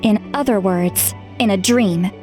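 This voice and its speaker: A woman playing a responsible, frank young female leader in a video game, speaking in a measured, earnest way. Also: mid-range voice, dark tone, soft background music, close to an everyday voice. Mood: decisive, businesslike.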